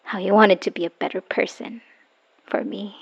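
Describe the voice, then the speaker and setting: A woman acting a wounded, bitter woman leaving her abusive partner, in an emotional, halting dramatic audio roleplay monologue. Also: mid-range voice, steady tone, vocal fry, husky. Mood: weary, wounded. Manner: emotional, halting, sighing, dropping into whispers. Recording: dull lo-fi voice recording.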